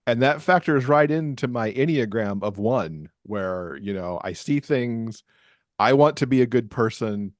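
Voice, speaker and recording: A man's voice: medium pitch, animated, measured pace, no echo, clean studio sound. A man speaking as a podcast guest and author in casual conversation. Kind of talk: casual chat.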